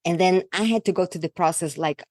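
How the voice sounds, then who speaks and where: A woman from Brazil with a thick Brazilian Portuguese accent and Portuguese as her first language, a guest in an interview, talking in a halting way and searching for words. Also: on the low side, darkish tone, no echo, clean studio sound. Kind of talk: casual interview talk.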